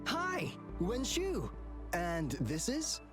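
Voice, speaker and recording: Earnest, polite young athlete, expressive video game read with clear music underneath. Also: mid-range voice, extremely slow, heavily acted. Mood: concerned, hopeful. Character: earnest, polite young relay team member, hopeful and persuasive.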